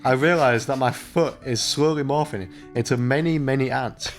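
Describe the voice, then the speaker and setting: A man's voice: medium pitch, moderate pace, over loud music, natural voice. A man voicing an awkward, distracted student who narrates his panicky thoughts in a visual novel. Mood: restrained panic.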